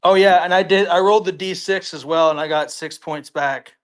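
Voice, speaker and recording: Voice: medium pitch, fairly even intonation, brisk. A tabletop game player chatting casually in a hesitant, matter-of-fact way.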